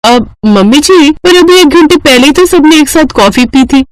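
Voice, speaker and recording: A woman voicing an excitable, whiny, naive young bride in a cartoon story. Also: high voice, moderate pace, dry recording, pitched above the performer's own voice, rough recording. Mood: protesting. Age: young adult.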